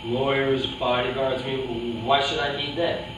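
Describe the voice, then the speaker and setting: A man's voice: medium pitch, level tone, measured pace, lightly acted. A man playing a cocky but naive middleweight boxer in a staged reading, with a defensive, questioning manner. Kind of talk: staged reading of a boxing drama. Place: live staged reading in a roomy indoor space. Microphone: distant video camera. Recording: echoey, noisy room, distant camera microphone.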